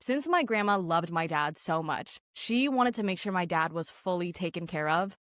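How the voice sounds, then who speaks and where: A woman's voice: on the low side, animated, normal speed, a bit bright, no echo, clean studio sound. A young woman from Canada hosting a podcast, telling a personal story in a candid way, with lots of "like" fillers. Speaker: podcast host.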